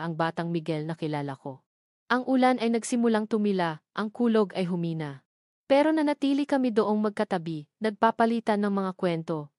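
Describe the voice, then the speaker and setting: A woman with a Filipino accent narrates a dramatic first-person love story in a sentimental, measured way, phrase by phrase. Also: medium pitch, darkish tone, dry recording, clean studio sound.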